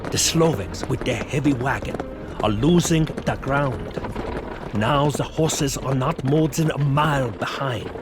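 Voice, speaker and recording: An actor playing a grave older vampire-hunting professor, narrating a gothic radio play with measured, stilted foreign phrasing. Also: male voice, mid-range voice. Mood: tense, grave. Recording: dramatic music behind the voice.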